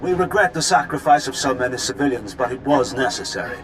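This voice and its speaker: An actor playing a defiant revolutionary leader, delivering a solemn, measured political manifesto in a sci-fi game. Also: male voice, mid-range voice, lively intonation, over music, lightly acted, low-quality recording. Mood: defiant, resolute. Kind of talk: dramatic political speech, video game acting.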